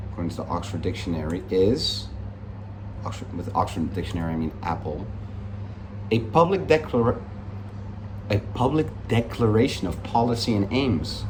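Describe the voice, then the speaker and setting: A man's voice: lowish voice, a little hiss or hum. A Dutch man in his twenties with a mixed American-international accent, rambling in a cocky, upbeat way through a casual vlog. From Netherlands. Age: 20s. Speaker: vlogger. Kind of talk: casual unscripted vlog monologue. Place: room in London.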